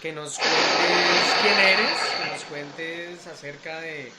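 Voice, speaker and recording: A man with a fairly high-pitched voice hosts a podcast. He speaks in an articulate, earnest way, presenting and interviewing. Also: very slow, over loud music, poor audio. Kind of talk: scripted introduction, then conversational interviewing.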